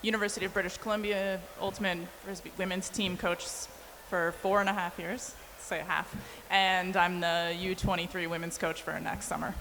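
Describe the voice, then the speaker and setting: A woman's voice: lowish voice, level tone, moderate pace, fairly bright tone. A woman from Canada who coaches a university team, speaking steadily and articulately on a panel. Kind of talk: panel discussion. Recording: reverberant hall with faint noise.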